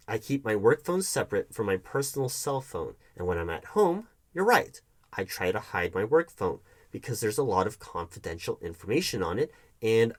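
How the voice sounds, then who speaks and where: A man acts out a defensive, evasive hiring manager in an audio roleplay, arguing with his ex. His mid-pitched voice swings widely up and down. He stammers and repeats himself as he argues each point. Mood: defensive, indignant. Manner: argumentative, halting with repeated, stammered words. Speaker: roleplay performer. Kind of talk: one-sided audio roleplay acting.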